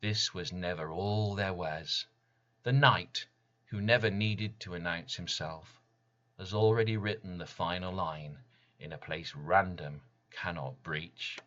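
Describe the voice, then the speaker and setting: A man reading his poem as dramatic spoken word, deliberate and intense, with weighty pauses. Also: low voice, a bit bright, muffled recording. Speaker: poet reading own work.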